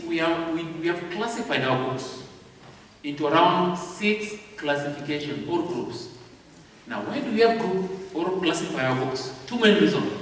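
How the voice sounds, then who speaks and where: A man with a Kenyan accent giving a training talk at a convention, speaking slowly and deliberately and checking in with the audience. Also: sits high, slight background noise. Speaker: publishing house representative. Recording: echoey hall recorded from far off.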